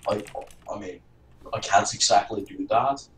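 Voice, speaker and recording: A low-voiced man talks very slowly and in a low-key way, making light of himself. His voice sounds roomy and set back from the mic, with faint typing behind. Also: far from the mic. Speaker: game master.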